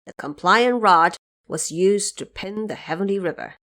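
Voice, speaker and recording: Female narrator for fantasy audio drama, theatrical storytelling, medium pitch. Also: moderate pace, fairly bright tone.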